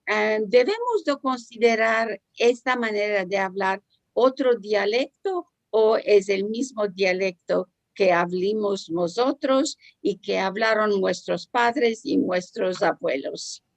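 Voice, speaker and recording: A woman in an online discussion, asking a measured, reflective question. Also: mid-range voice, no room sound. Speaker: audience member asking a question. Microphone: video call.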